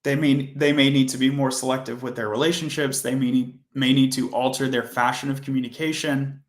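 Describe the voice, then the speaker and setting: A man hosting a coding livestream, chatting casually and rambling with self-corrections, his voice hoarse and fading. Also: medium pitch, fairly even intonation, a little fast, reverberant.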